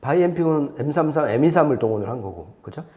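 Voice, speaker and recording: Higher-pitched, soft-toned man explaining audio gear. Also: animated, quick pace. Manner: didactic, explains step by step with repetition. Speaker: hi-fi expert presenter explaining audio setups. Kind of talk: conversational explainer.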